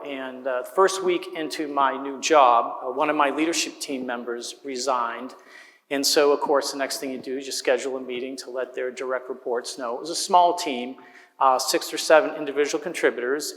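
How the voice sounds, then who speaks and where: A man's voice: medium pitch, fairly bright tone. A middle-aged man with a Boston accent, telling a story to a live audience as a conference panelist. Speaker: conference panelist, corporate executive. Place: indoor conference hall, on stage. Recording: reverberant conference hall.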